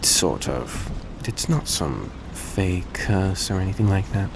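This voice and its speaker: A man acting as a gentle, courteous vampire speaks in a deep, slow voice with a gravelly creak. His phrasing is polite, formal and slightly hesitant, as in an intimate audio drama told straight to the listener. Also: heavily acted. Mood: explaining, relaxed. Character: courteous, gentle male vampire repaying a debt. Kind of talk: intimate scripted audio roleplay addressed to the listener. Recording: steady background noise behind voice.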